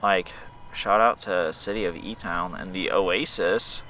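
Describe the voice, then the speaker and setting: A man's voice: deep voice, steady tone, measured pace, pushed and bright, poor audio. A man hosting a casual outdoor interview while flying a drone, upbeat and playful with jokes. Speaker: host. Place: outdoors at a park playground.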